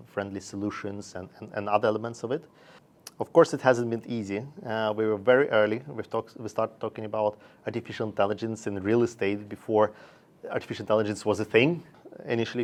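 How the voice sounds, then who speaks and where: A man from Russia and Sweden, a founder talking about his career in halting, measured phrases, as in an interview. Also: on the low side. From born in Russia, raised in Sweden. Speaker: company founder and investor.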